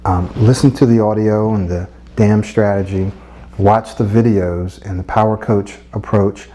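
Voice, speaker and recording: A middle-aged man giving a motivational speech-coaching lesson, earnest and repetitive, with a husky voice. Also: lowish voice, steady tone, measured pace, warm tone.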